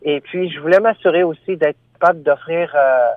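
A man's voice: a little high, normal speed, dry recording. Franco-Ontarian man on a tinny phone line. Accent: Franco-Ontarian French. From Ottawa, Ontario. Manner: hesitant, many fillers and restarts. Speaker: singer guest. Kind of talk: phone interview. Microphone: telephone.

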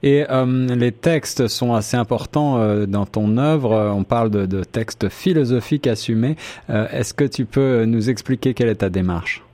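A man's voice: mid-range voice, a little slow, warm tone. A man hosting a radio show, interviewing a guest with long, winding, conversational questions. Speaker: radio host.

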